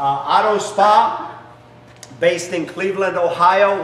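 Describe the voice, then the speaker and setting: A man's voice: a bit bright, a little hiss or hum. A middle-aged man, not a native English speaker, giving an enthusiastic promotional demonstration as a business founder in a large garage. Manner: enthusiastic salesy pitch with broken phrasing. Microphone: camera mic from a few steps away. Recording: echoey garage, distant mic.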